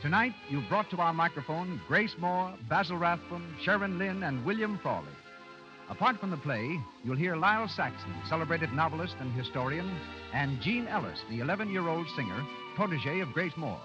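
A man's voice: fairly high pitch, animated, normal speed, over music. A man making scripted announcements on a 1930s radio show in a formal, grandly presentational manner. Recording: dull 1930s radio broadcast recording.

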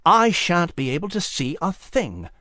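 A man's voice: fairly high pitch, wildly swooping pitch, measured pace, bright, forceful tone, dry recording, clean studio sound, full character voice. A man voicing a cheerful, proper little male mouse who speaks with prim, old-fashioned exclamations. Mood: fussy.

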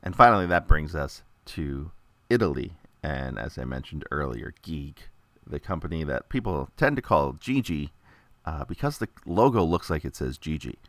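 A man's voice: very deep voice, expressive, normal speed, no room sound. A man from the United States chatting casually through an informational monologue, with many filler words and light jokes. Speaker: toy collector presenting a video.

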